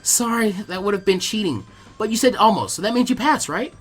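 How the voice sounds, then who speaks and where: A man's voice: sits high, lively intonation, a little fast, a bit bright, pitched above the performer's own voice. A man voicing a confident, teasing young ninja girl with a frank, self-assured manner. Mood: apologetic.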